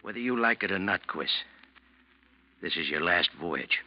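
An actor playing a gruff, scornful, scheming ship's first mate in a classic radio drama, with a blunt, bullying, sarcastic delivery. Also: male voice, sits low, animated, moderate pace. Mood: menacing. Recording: muffled vintage radio recording.

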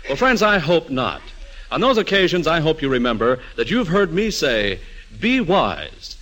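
A man's voice: mid-range voice, fairly bright tone, rough recording. An American man reading a 1940s-style radio commercial, speaking persuasively and directly to listeners on an old broadcast recording. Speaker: commercial announcer. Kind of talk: 1940s radio advertisement read.

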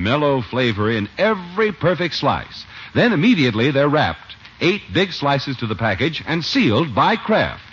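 A man's voice: fairly high pitch, fairly bright tone, slight background noise. An American man announcing on 1950s radio, reading commercials and narration with polished, emphatic salesmanship. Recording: old muffled radio broadcast recording. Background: faint music.

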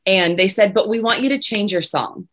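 A young woman singer with a low voice, chatting casually as an interview guest. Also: moderate pace, fairly bright tone, a little hiss or hum. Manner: chatty, many restarts and fillers.

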